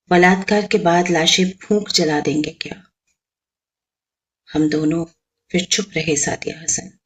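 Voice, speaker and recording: A person reciting poetry in a solemn, measured manner, with pauses and some hushed, breathy phrasing. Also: mid-range voice. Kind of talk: dramatic Hindi poetry recitation.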